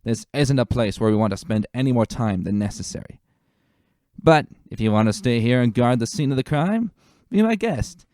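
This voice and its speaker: A man voicing a gruff veteran pony lieutenant, commanding and emphatic, in a dramatic story reading. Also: mid-range voice, expressive, moderate pace, a little mellow, dry recording, clean studio sound, voice pushed up, lightly acted. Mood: stern, warning.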